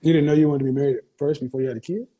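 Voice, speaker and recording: A man's voice: a little high, steady tone, racing, mellow. A man hosting a call-in advice show, questioning a caller bluntly and cutting in often. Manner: blunt, interrupting, interrogating. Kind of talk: call-in interview.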